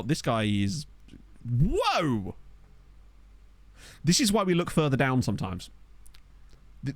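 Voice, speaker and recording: A man's voice: mid-range voice, a little mellow, no echo. Chatty man streaming a game. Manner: chatty, rambling, laughs often, reacts aloud to players. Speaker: gaming streamer. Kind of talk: casual gameplay commentary. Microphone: desk microphone.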